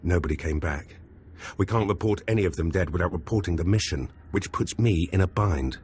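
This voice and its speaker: A brash, scheming intelligence officer, voiced by an actor with a low voice and a cocky, conspiratorial way of talking. Faint ambience sits under the game audio. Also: male voice, brisk, a little mellow, slight background noise, lightly acted.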